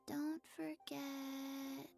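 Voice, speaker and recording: A woman voicing a little zombie girl for a video game, earnest and forgetful, reciting in a halting deadpan. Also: high voice, gravelly, soft background music, exaggerated voice. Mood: earnest, instructing.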